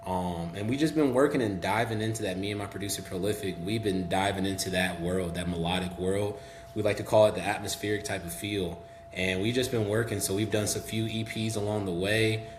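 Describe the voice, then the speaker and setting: A young man from Memphis with a Southern accent, talking relaxed and chatty as a guest on a podcast, with many "um"s and "you know"s. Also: lowish voice, brisk. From Memphis, Tennessee. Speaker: guest rapper. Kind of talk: casual podcast interview. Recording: echoey room with faint hum.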